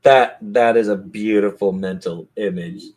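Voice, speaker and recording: A man's voice: on the low side, a little slow, darkish tone. A man acting as game master, narrating and refereeing a tabletop fantasy game in colloquial language and speaking directly to his players.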